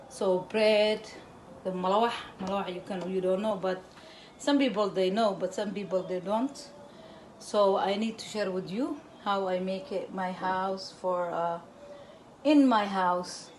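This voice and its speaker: A Somali woman with a Somali accent, hosting her cooking channel from her home kitchen in halting English, recorded by a camera a little way off. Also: mid-range voice, a little hiss or hum. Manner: halting broken English, repeats words while explaining. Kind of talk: casual cooking demonstration.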